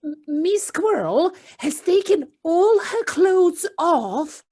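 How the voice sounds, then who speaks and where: A woman's voice: very high-pitched, sing-song, dark tone, exaggerated voice. A woman playing a timid, anxious little male mole in an amateur audio play, speaking hesitantly. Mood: startled. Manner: hesitant, halting.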